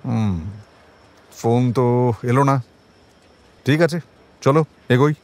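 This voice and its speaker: Shrewd, world-weary police detective. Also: male voice, mid-range voice, a little mellow. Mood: calm, decisive. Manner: measured, wry, colloquial. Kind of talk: audio drama acting.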